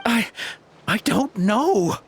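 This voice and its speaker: Jittery game henchman, highish male voice, swoops around, evasive and defensive. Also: lively intonation, very slow, slightly rough, heavily acted. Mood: nervous. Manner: hesitant, defensive. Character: nervous captured henchman, evasive and explaining himself. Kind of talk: video game voice acting.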